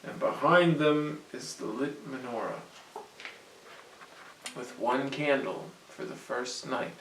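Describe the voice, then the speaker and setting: A storyteller reading a picture book aloud to children in an animated, explanatory way. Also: mid-range voice, slow, creaky, slight background noise. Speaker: storyteller, host. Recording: echoey room, distant mic.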